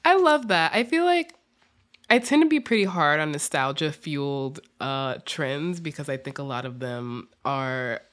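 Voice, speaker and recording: A woman's voice: sits low, lively intonation, measured pace, fairly bright tone. A woman hosting a podcast in a casual, conversational interview style, informal and a little wry. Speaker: podcast host.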